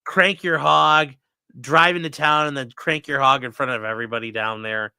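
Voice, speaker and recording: A man's voice: medium pitch, fairly even intonation, moderate pace, gravelly, pushed and bright, clean tone, no echo. A man hosting a livestream, joking through sponsor reads and giving blunt, sarcastic opinions. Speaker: livestream host, defense attorney. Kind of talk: casual livestream commentary.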